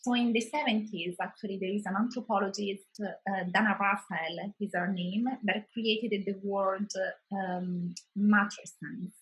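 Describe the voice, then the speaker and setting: A woman's voice: mid-range voice, steady tone, a little slow, poor audio. A woman in her thirties from Italy with an Italian accent, a wellbeing consultant speaking as an interview guest in a thoughtful way, with many restarts. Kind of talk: conversational interview.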